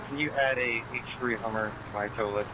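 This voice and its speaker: Male officer radioing in, short monotone phrases, police scanner sound buried in loud buzzing. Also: mid-range voice, brisk, background noise. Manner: terse, procedural. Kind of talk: clipped police radio calls. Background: bees buzzing at outdoor hive.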